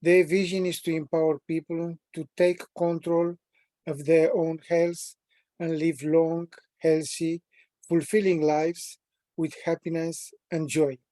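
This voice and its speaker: A man in his sixties from Romania, with a Romanian accent, presenting a training webinar at a measured, deliberate pace with frequent pauses. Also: fairly high pitch, level tone, warm tone, no echo. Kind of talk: prepared self-introduction in a training webinar.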